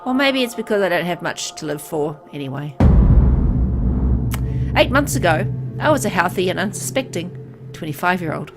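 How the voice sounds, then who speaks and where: A bitter young man in his twenties narrates his own dying in a solemn, inward-looking way , read by a woman. He is resigned and brooding. Music plays clearly behind this visual novel monologue. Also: lowish voice, very animated, normal speed. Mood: bleak, resigned. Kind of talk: dramatic first-person visual novel narration.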